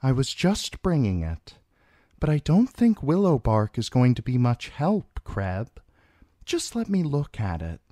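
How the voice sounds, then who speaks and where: A man voicing a patient, deferential, practical medicine woman in a storybook, gentle and pleading. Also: medium pitch, wildly swooping pitch, unhurried, no echo, clean studio sound, pitched above the performer's own voice. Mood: patient, concerned.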